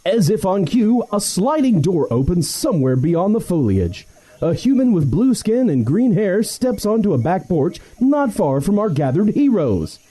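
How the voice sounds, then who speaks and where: A man's voice: high-pitched, sing-song, moderate pace, mellow, slightly rough. A man narrating a scripted comedy story in a mock-dramatic storytelling manner.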